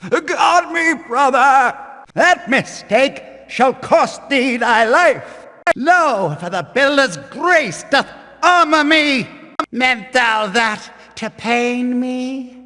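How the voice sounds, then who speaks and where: High, forceful fanatical warrior-priest. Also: male voice, expressive, drawn-out words, full character voice. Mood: defiant. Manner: menacing, bombastic, declamatory. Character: fierce, fanatical male warrior-priest with a hammer. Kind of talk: archaic video game combat barks. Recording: long hall reverb.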